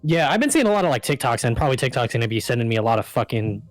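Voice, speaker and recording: A man's voice: medium pitch, big pitch swings, very fast, soft background music. A man chatting casually into a close microphone on a stream, informal and rambling, with some swearing. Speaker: co-host of a live stream chat show. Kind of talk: casual chat. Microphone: close desktop microphone over voice chat.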